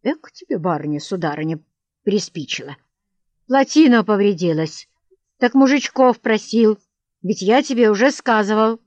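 A woman's voice: medium pitch, animated, moderate pace, no echo, dull audio, natural voice. A woman voicing a coarse, boisterous middle-aged estate manager with hearty guffaws and blustering threats, in an audiobook reading.

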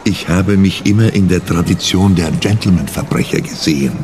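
A man acts out a vain, aging gentleman crook in a radio play. His low voice is pompous and self-dramatizing, hungry for fame, with faint noise behind it. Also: normal speed, lightly acted. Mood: grandiose. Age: older. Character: vain aging ex-criminal craving fame again. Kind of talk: German-language radio play acting.

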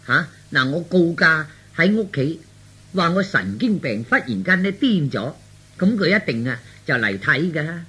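An earnest young man with a scheme, played by a male storyteller in a radio play. He wheedles his elders in a lively, slightly husky voice, hesitating as he explains. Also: fairly high pitch, unhurried, exaggerated voice. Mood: eager scheming.

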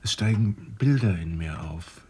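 An actor in a German radio drama playing an obsessed, agitated scientist who speaks haltingly and intensely. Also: male voice, fairly low pitch, animated, warm tone, a little hiss or hum. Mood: entranced. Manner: halting, intense, self-justifying. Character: obsessed, agitated male scientist under an eerie music's spell.

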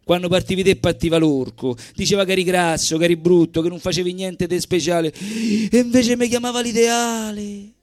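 A man's voice: high-pitched, brisk, hardly any pauses, dry recording, studio quality, close to an everyday voice. A man with a Roman accent voicing two jealous, boastful dead husbands bickering in an indignant, gossipy way during a live verse recital. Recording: live evening show recording with music.

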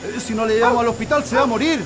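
An actor playing a nervous, well-meaning Chilean husband and neighbour, hesitant and stammering, in a radio drama. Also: male voice, very high voice, rapid-fire, over music, low-quality recording. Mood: anxious, earnest.

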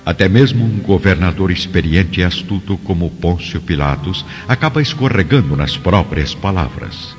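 A man's voice: deep voice, expressive, moderate pace, hardly any pauses. A man giving dramatic, scripted narration for a radio drama. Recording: muffled sound, clear music behind.

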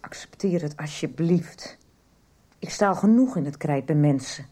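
A woman's voice: low-pitched, expressive, moderate pace, dark tone. An actress playing a distraught, self-blaming mother who drinks, in a 1990s radio soap. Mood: contrite. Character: distraught, guilt-ridden, foul-mouthed mother who drinks.

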